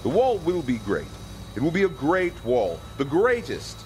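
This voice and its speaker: A man's voice: on the high side, huge pitch swings, a little slow, a little mellow, a little hiss or hum, pitched above the performer's own voice. A man playing a pompous, petulant, foolish king in a comedic fantasy audio drama, blustering with theatrical indignation. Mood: stubborn, boastful.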